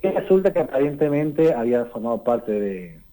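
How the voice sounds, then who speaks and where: A man, giving a conversational radio literature column and explaining things unhurriedly, often pausing on "eh" and tagging his sentences with "¿no?". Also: medium pitch, sing-song, dark tone, rough recording. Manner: unhurried and explanatory, tags lines with "¿no?" and "eh".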